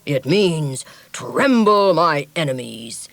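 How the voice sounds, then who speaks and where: A woman voicing a jolly, boastful, stout sea captain father in a storybook reading, with a hearty, booming manner. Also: very animated, takes it slowly, no room sound, heavily acted.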